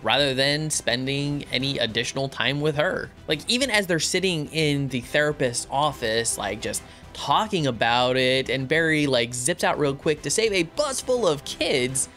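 A man's voice: mid-range voice, normal speed, faint music behind. A man in his thirties talking expressively and conversationally in a casual review, close on the microphone in a home studio. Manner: expressive, conversational, acting out reactions and quotes. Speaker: YouTube reviewer and host. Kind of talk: casual spoken review to camera. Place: small home studio room. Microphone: close microphone on a boom arm.

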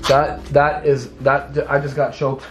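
A young man vlogging a staged paranormal challenge in a dark abandoned building at night, hoarse and panicked, talking in shaken, hushed bursts. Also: medium pitch, flat delivery, slow, echoey room, over music. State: hoarse, complaining of a sore throat.

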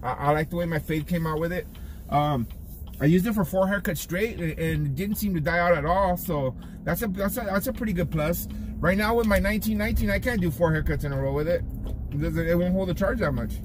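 A man's voice: sits high, brisk. A middle-aged barber vlogger talking casually from his car, rambling and restarting his sentences often. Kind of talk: casual vlog talk. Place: parked car. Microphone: phone camera on the dashboard. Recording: noisy car interior.

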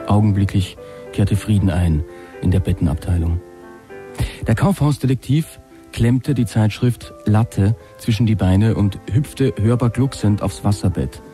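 Male comic storyteller, dark mellow tone, deadpan, faint music behind. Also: fairly low pitch. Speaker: comedian reading his own comic story. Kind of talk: deadpan comic reading with casual chat.

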